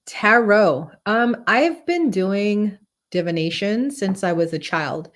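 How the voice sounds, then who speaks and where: Female tarot teacher, 40s, from Barbados and Guyana; lowish, chatty live-stream delivery. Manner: chatty, greets viewers by name.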